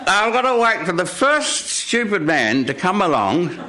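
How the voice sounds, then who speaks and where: A man voicing a weak, slyly curious old wolf near death in a live storytelling show, halting and drawing out his questions. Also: sits high, big pitch swings, pushed and bright, voice pushed up, full character voice. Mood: sly interest. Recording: live radio studio recording, noisy.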